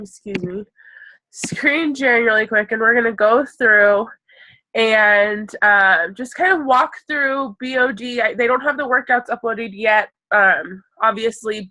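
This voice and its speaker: A woman's voice: medium pitch, pushed and bright, no room sound, poor audio. A young woman fitness coach with a stuffy, congested voice from a cold, chatting casually and reassuringly on a live call, full of ums.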